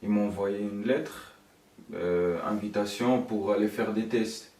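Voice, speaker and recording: Distant, echoey low-voiced young man. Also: unhurried, warm tone. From born in Italy, raised in Luxembourg from age nine. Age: young adult. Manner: informal, frequent "you know" tags. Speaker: guest, professional footballer. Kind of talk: casual interview answers, telling own story. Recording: roomy echo, distant from the mic.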